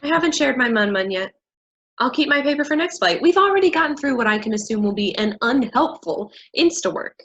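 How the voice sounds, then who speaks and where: A woman's voice: high voice, animated, fairly quick, a bit bright, a little hiss or hum. A woman playing a haughty, scornful, condescending character in a staged play reading. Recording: dull lo-fi recording.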